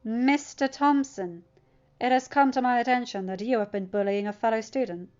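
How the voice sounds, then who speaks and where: A woman voicing a stern male school principal with a firm, formal, measured manner in a story. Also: fairly high pitch, a little mellow, clean tone, dull audio, close to an everyday voice.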